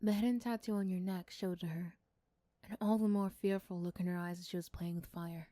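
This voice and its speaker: A soft-spoken woman giving scripted second-person story narration in an ASMR roleplay style. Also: fairly low pitch, fairly even intonation, a little fast, dry recording. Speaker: storyteller and voice performer.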